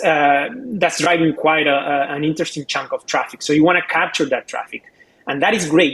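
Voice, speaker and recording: A man's voice: a little high, a bit bright, poor audio. A man giving casual expert commentary as a co-host, explaining step by step and often repeating words as he goes.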